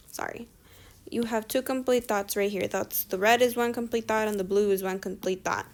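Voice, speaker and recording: Young woman tutoring grammar over slides. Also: on the high side. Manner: talks through slides, frequent self-corrections. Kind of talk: screen-recorded grammar lesson.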